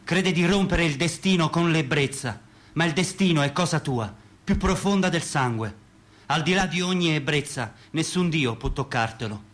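An actor playing a solemn, grandiose orator in mourning, declaiming theatrically in a radio drama. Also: male voice, a little high, moderate pace, fairly bright tone, close to an everyday voice. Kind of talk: Italian stage-style radio drama monologue. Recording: music playing behind the voice. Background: instrumental music.

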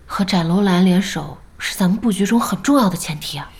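A woman acting in an audio drama as a blunt, impatient young gamer's ally with an expressive manner. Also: on the low side, brisk. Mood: worried, hushed.

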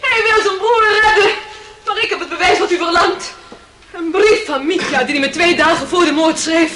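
A passionate young woman in a radio drama. Her voice is extremely high, anguished and pleading, with intense feeling in a melodramatic scene. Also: normal speed, heavy background noise. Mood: tearful, desperate. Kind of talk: radio play acting.

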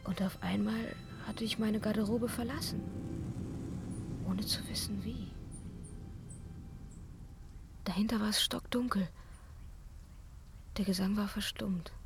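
Breathy, spellbound young opera singer. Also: female voice, mid-range voice, a little hiss or hum. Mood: tense, haunted. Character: spellbound, frightened young opera singer recounting her ordeal. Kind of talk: breathy intimate radio drama narration.

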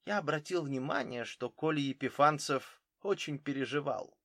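A man's voice: on the high side, moderate pace, fairly bright tone, dry recording, studio quality. A man voicing a careful, literal German footballer in his thirties, giving witness answers in an audiobook. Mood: careful, cooperative. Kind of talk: audiobook character dialogue.

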